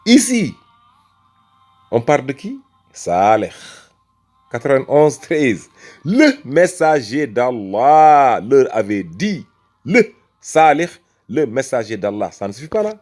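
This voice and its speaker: A man's voice: medium pitch, a little mellow. A man from Senegal arguing a religious point with emphatic rhetoric, repeating phrases and calling the listener brother. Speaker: religious speaker. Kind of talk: impassioned religious argument.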